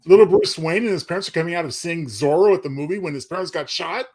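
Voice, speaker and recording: A man's voice: on the high side, fast talker, a little mellow. A man co-hosting a show in casual chat, working things out aloud a little tentatively. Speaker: show co-host.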